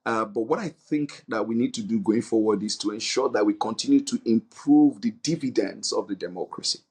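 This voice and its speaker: Low, husky Nigerian man being interviewed. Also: a little fast, a little mellow. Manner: hesitant, searching for words, earnest. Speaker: interview guest, non-profit leader. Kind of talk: interview answer.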